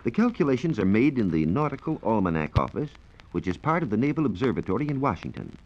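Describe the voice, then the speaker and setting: A man explaining science in a measured, precise way as a reporter on a 1940s radio program. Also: medium pitch, big pitch swings, a little mellow. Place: radio studio. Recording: dull, noisy 1940s radio recording.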